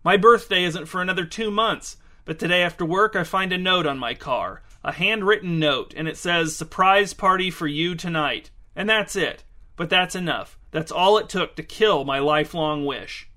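Man voicing a loud, exasperated, commanding woman. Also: high-pitched, normal speed. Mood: wounded, exasperated. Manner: emphatic, insistent, clipped commands. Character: exasperated, commanding woman scolding her party guests. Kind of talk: storybook character acting.

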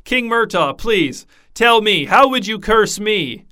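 A hoarse, boisterous king full of merry good cheer, as a male narrator puts him on. He speaks high and loud, with a bright, clear edge. Storybook feast voice. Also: animated, takes it slowly, heavily acted. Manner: boisterous, booming with laughter. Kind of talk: storybook character voice.

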